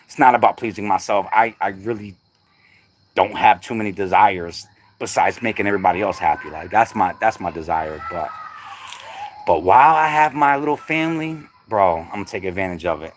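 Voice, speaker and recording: A man's voice: lowish voice, fairly quick. A middle-aged man chatting casually on a livestream, laid-back and slangy, laughing often. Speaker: livestream host.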